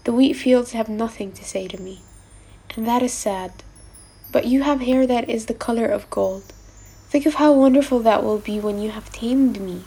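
Woman voicing a gentle wistful storybook fox, lifted pitch. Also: normal speed, husky. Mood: wistful, longing. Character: gentle, wistful male fox longing to be tamed. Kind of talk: storybook character voice.

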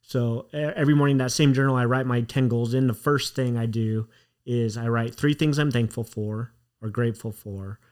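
Podcast host: man, mid-pitched voice, relaxed and encouraging. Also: moderate pace, clear, resonant tone. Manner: relaxed, encouraging, short affirmations. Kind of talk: casual interview chat.

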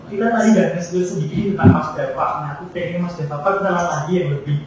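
A young man from Klaten hosting a student podcast, upbeat and quick. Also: on the high side, noisy background. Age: young adult. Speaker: podcast host. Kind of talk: casual chat. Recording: distant, dull, echoey mic.

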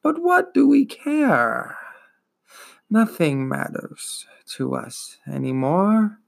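A man voicing an ancient, weary female swamp turtle who wheezes and gurgles, drawing out every word slowly and without interest. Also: sits high, huge pitch swings, gravelly, pitched above the performer's own voice, exaggerated voice. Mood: weary, indifferent. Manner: very slow, drawn-out, apathetic.

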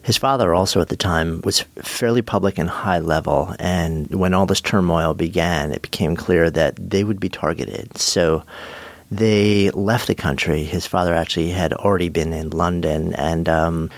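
A man hosting a podcast, introducing his guest and reading a sponsor spot in a measured, conversational way. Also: low-pitched, fairly even intonation, dry recording. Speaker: podcast host.

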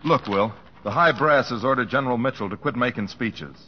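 Guy playing fired-up earnest pilot, talks real fast, old muffled radio drama. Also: mid-range voice, slight background noise, close to an everyday voice. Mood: indignant, urgent. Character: earnest, indignant aviator pleading his cause.

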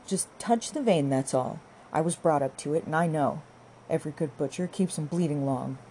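A woman voicing a brisk, hard, scornful young farm wife who scolds and orders in an audiobook. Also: deep voice, mellow, a little hiss or hum. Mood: bossy, instructing. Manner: scolding, bossy, rustic turns of phrase. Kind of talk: audiobook character dialogue.

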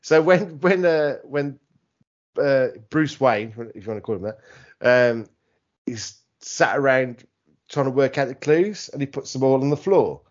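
A man's voice: medium pitch, animated, unhurried, lo-fi sound. A British man co-hosting a podcast, bantering casually about films in a sweary, rambling way and slipping into mocking impressions. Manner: sweary, rambling, mimics film characters mockingly. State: tipsy. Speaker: podcast co-host.

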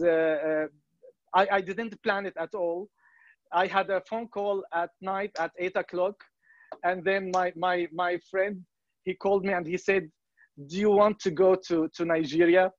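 A man in his thirties from Syria, speaking English as an in a casual testimonial, halting with many fillers and restarts. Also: high voice, steady tone, no echo. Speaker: volunteer organization member. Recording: dry, muffled lo-fi sound.

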